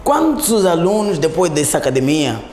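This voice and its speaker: Man, Mozambican accent, fairly high voice, interview host, halting questions, conversational, echoey reverberant recording. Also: big pitch swings, a little mellow, slight background noise. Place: echoey studio. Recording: echoey, long reverberant room sound.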